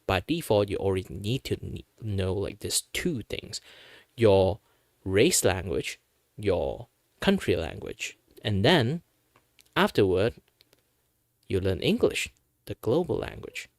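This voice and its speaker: Chatty Malaysian man with a gravelly voice. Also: lowish voice, sing-song, takes it slowly, long pauses, dry recording, clean studio sound. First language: Mandarin. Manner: rambling, chatty, many fillers. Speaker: VTuber streamer. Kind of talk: casual chat while drawing on stream.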